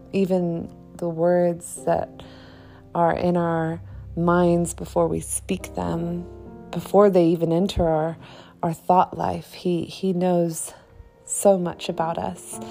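Slow, mellow-voiced woman hosting a faith podcast. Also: lowish voice. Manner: slow, earnest, with soft trailing phrases. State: slightly croaky from a cold. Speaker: podcast host. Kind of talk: devotional podcast talk and prayer.

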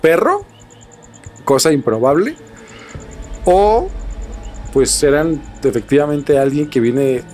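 A man's voice: on the high side, sing-song, takes it slowly, long pauses, a little mellow, soft background music. A man with a Mexican accent hosting a podcast, telling things in a casual, colloquial way and repeating words for emphasis. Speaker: podcast host.

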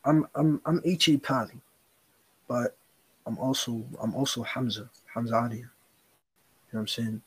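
A man's voice: mid-range voice, normal speed, pauses often, breathy edge, rough recording. A young man co-hosting a podcast, talking earnestly in casual chat, rambling with slang and swearing. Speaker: podcast co-host.

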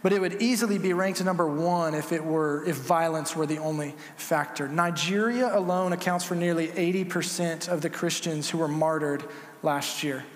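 A man's voice: a little high, steady tone, normal speed. A man preaching a sermon as pastor, earnest and conversational with some fillers. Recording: echoey hall with long reverb.

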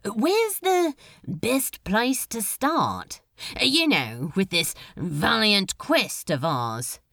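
A woman acts out an exasperated male demon for an audiobook story. The voice is gravelly with a forceful edge, swinging widely as it drawls and grumbles. Delivery is unhurried, grudging and sardonic. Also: medium pitch, big pitch swings, no echo, studio quality, exaggerated voice. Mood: exasperated, wry. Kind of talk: audiobook character voice.

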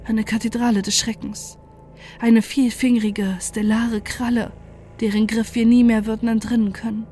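A woman's voice: a little high, flat delivery, moderate pace, dark tone, soft background music, close to an everyday voice. A woman playing a desperate narrator in a first-person horror audio drama, telling it with dramatic intensity and dread. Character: desperate, horrified narrator confronting a mad former friend.

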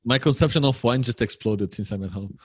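A man's voice: mid-range voice, fairly quick, slightly rough, no echo. A man speaking as a guest in a casual online chat over a video call, rambling enthusiastically and repeating his phrases.

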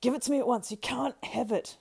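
A woman narrator acts out a tormented hobbit ring-bearer from a fantasy storybook. He is weighed down and dramatic, speaking with halting intensity in a creaky, mellow voice. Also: deep voice. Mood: frantic, accusing. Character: burdened, anguished male hobbit ring-bearer.

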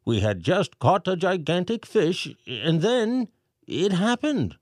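Brief: man playing a flustered storybook mayor, pitched well up, urgent and loud, lively swings. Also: takes it slowly, dry recording, studio quality, heavily acted. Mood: alarmed. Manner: urgent, raised reading voice. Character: flustered male town mayor reading a letter.

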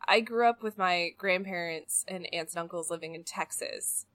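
A young woman from California, a podcast guest telling stories in a chatty, animated way. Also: fairly low pitch, normal speed, no echo. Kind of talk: casual chat.

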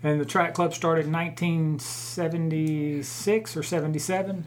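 Chatty middle-aged man, slightly high voice. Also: fairly even intonation, moderate pace, a little hiss or hum. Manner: chatty, asks questions. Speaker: co-host, club board member. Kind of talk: casual chat.

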